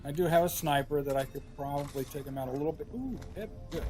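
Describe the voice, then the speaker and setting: A slightly hoarse man with a somewhat higher voice talks casually through a game walkthrough. He thinks out loud, pausing on fillers as he goes. Game music plays clearly behind him. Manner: relaxed, thinking aloud with frequent "uh" fillers. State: slightly hoarse, scratchy throat. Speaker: gameplay commentator. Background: game music and gunfire.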